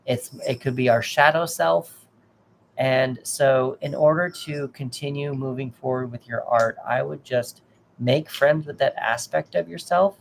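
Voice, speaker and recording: A man reading tarot cards for a client, giving gentle, reassuring advice in a casual, rambling way. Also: medium pitch, a little slow, gravelly, slight background noise, poor audio. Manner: gentle, reassuring, lots of restarts. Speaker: tarot reader.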